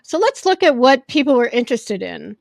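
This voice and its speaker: A woman hosting a podcast in casual chat, with a loud voice that projects when she gets excited. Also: a little high, brisk, gravelly, dry recording, studio quality. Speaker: podcast host. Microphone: podcast microphone.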